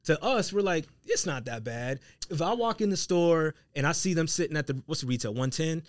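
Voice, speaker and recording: A man's voice: fairly high pitch, expressive, fairly quick, dry recording, studio quality. A man with an American accent chatting casually as a podcast co-host, slangy and agreeable, chiming in with short affirmations. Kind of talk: casual sneaker chat, slangy.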